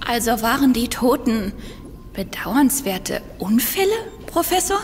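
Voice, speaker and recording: Tanja, the anxious devoted partner. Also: female voice, a little high, sing-song, a little slow, long reverb tail, slight background noise.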